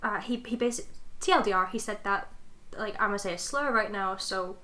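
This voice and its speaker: A woman's voice: medium pitch, fairly quick, pushed and bright. A young adult woman co-hosting a podcast, chatting casually and informally. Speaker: podcast co-host. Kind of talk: casual chat.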